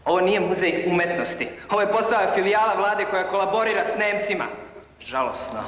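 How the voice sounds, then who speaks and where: An actor playing a proud, wounded young art collector, speaking with indignant scorn. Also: male voice, high-pitched, quick pace, lightly acted. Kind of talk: film acting. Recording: echoey room with hiss.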